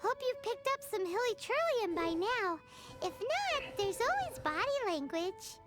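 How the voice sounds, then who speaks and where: A woman's voice: sing-song, full character voice. A woman voicing a tiny, chatty female companion who bluntly calls things out, over game music. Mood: sassy. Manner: high, childlike and quick to call things out.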